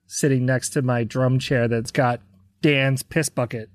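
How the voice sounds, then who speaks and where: An American man, a musician being interviewed, telling anecdotes in a casual, animated way and acting out the dialogue. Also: mid-range voice, a little slow, no echo, studio quality. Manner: conversational, acts out quoted dialogue. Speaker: interview guest, rock drummer.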